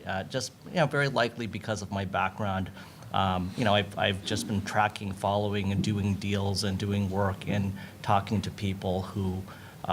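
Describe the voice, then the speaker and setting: A man with an American accent giving unscripted panel remarks, halting and thinking aloud as he goes. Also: deep voice, gravelly, a little hiss or hum. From United States. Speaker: panelist, lawyer.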